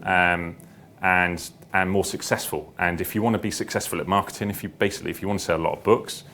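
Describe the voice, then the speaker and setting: A man's voice: fairly even intonation, quick pace, sharp tone. A man giving an informal seminar talk, hesitant and repetitive as he circles back through his phrases. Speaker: seminar speaker, publisher and founder. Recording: clear background noise with low rumble.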